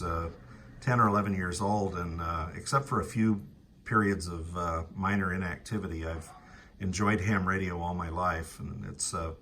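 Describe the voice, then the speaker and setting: American male ham operator, very low but crisp-edged bright voice, halting casual radio chat. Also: a little hiss or hum.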